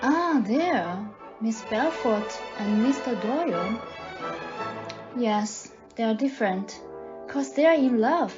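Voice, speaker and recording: A woman's voice: fairly high pitch, expressive, slow pace. A woman playing a sociable, polite society lady in an audio play, with a genteel, friendly manner. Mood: wistful. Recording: muffled audio, loud music behind.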